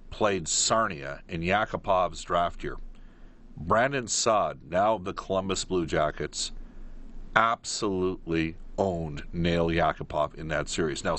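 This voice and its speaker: A man hosting a live sports phone-in, giving opinions emphatically and pausing mid-thought. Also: low-pitched, fairly bright tone. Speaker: sports radio call-in host.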